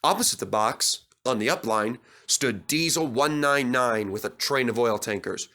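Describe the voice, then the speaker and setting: A man's voice: medium pitch, lively intonation, moderate pace, pushed and bright. A man narrating a children's story as a scripted reading.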